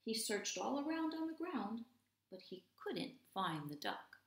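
A woman in her thirties, an English teacher reading aloud to young children, dramatic and deliberate, with hushed stretches. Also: fairly high pitch, big pitch swings. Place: small room at home, indoors. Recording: roomy indoor echo.

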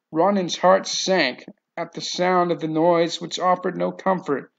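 Male novel narrator, slightly high pitch with gravelly fry, reading from a script, lo-fi sound.

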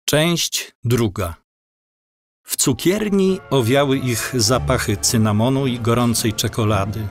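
A man narrating a scripted audio drama in a measured, descriptive manner. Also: medium pitch, faint music behind.

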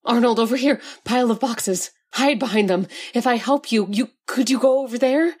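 A woman's voice: a little high, moderate pace, darkish tone, husky. A woman playing a devoted, frightened young woman in an old-time radio drama, breathless and pleading. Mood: frantic.

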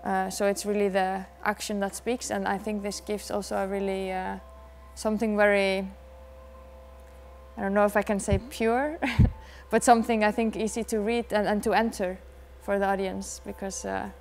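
A soft-spoken Icelandic dancer in her thirties, answering interview questions thoughtfully with many pauses, in a dark dance studio. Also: mid-range voice, level tone, vocal fry, slight background noise. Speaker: dancer and choreographer.

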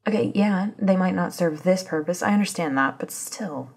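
An intense, impatient woman in a supernatural audio drama, acted by a woman. The voice is fairly low and heavily breathy, with an insistent conversational delivery. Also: moderate pace. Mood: restless, impatient. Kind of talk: ASMR audio roleplay drama.

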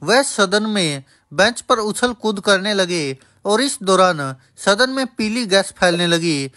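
A man with a high voice delivers a scripted news voice-over. His delivery is formal, in the manner of a reporter reading out a bulletin. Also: expressive, slow pace, bright, forceful tone. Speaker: news narrator.

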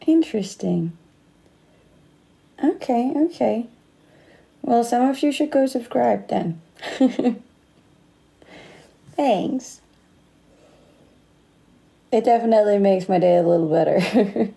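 Woman, late 20s, Danish, slight Australian accent, gravelly, mellow, relaxed livestream chat. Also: on the high side, slow pace, pauses often. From Denmark. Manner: relaxed, chatty, laughs often. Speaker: livestream host. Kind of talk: casual livestream chat reading comments. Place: indoor room. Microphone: phone close to face.

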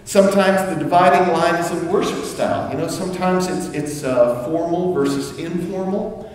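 A man's voice: high voice, normal speed, a little mellow, a little hiss or hum. Middle-aged man preaching in an echoey church. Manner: conversational preaching with asides and restarts. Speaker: pastor. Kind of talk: sermon. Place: church sanctuary. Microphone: far camera, room sound. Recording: echoey church hall from far off.

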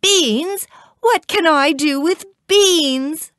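A woman voicing an excitable, scolding mother in a children's storybook, speaking in exclamations. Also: fairly high pitch, takes it slowly, no room sound, clean studio sound, pitched above the performer's own voice, full character voice. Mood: angry. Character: poor, excitable, scolding mother of young boy.

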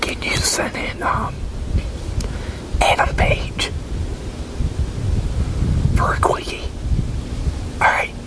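Whispering man in his sixties, slow and halting. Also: low-quality recording. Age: 60s. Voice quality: breathy, unvoiced whisper. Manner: halting, with pauses and one-sided conversation. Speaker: ASMR roleplayer playing a wrestling promoter. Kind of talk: whispered ASMR roleplay. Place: open covered outdoor picnic area with metal tables.

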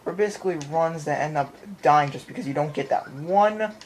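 A man's voice: a little high, brisk, slight background noise. A man giving casual, rambling gameplay commentary, often repeating his phrases, recorded on a handheld camera in a room. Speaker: gamer recording his playthrough. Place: indoor room in front of a TV. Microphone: handheld camera or phone filming the screen.

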